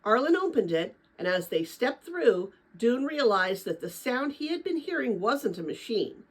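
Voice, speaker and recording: A woman narrating a children's adventure novel as a dramatic read-aloud, with expressive, deliberate phrasing. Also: on the high side, low-quality recording. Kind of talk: dramatic storybook read-aloud for middle-grade listeners.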